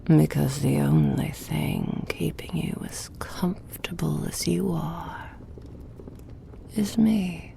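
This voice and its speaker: Woman as a low, gravelly whispering demon sorceress. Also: dark tone, heavily acted. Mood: smug menace. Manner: menacing, taunting, formal and deliberate. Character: cruel, possessive female demonic dark mage, gloating over a captive. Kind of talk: roleplay ASMR, villain monologue.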